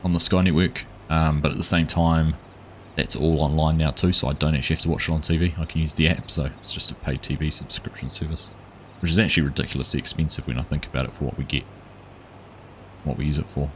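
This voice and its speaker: A man's voice: extremely low pitch, quick pace, a little hiss or hum. A man with a New Zealand accent, chatting casually with viewers while streaming a farming game. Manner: relaxed, rambling, chats with viewers. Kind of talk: casual gameplay livestream chat.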